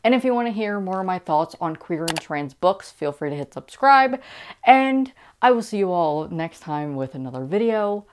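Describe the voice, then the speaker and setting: A woman's voice: fairly low pitch, big pitch swings, normal speed, smooth. A young adult woman speaking enthusiastically and casually to camera as a book vlogger.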